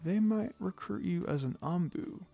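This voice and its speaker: A man's voice: sits high, normal speed, vocal fry, mellow, muffled recording, soft background music, pitched above the performer's own voice. A man voicing an older male ninja teacher in a story, offhand, hearty and encouraging. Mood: informative.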